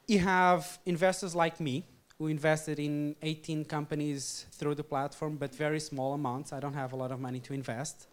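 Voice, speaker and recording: A man speaks at a conference to a room of investors. His voice sits a little high for a man, and he talks with energy, dotting his sentences with "uh" and "um". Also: smooth. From Portugal. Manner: energetic, frequent "uh" and "um" fillers. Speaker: startup co-founder giving a talk. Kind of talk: conference presentation and Q&A. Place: event hall with audience.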